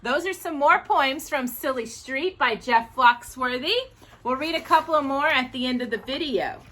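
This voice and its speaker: A woman hosting a children's storytime, reading aloud in an animated, sing-song manner. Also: high voice, moderate pace.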